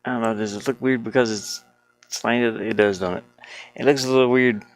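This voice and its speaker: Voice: medium pitch, steady tone, normal speed, poor audio. A game developer talking casually to themselves while they work, muttering with playful asides. The speaker's voice could be a man's or a woman's.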